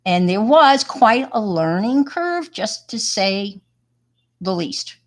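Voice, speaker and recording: A woman's voice: mid-range voice, lively intonation, slow pace. A retired woman teacher with a New York accent, chatting casually while showing her artwork, rambling and poking fun at herself. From Long Island, New York. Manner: rambling, self-deprecating, chatty with fillers. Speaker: retired teacher and hobby artist. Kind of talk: casual show-and-tell chat.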